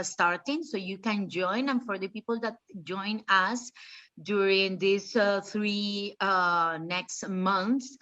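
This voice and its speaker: Woman, Colombian accent, native Spanish speaker, mid pitch, hesitant phrasing, event host, muffled lo-fi call sound. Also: bright, forceful tone. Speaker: association founder, event host. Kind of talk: informal online presentation.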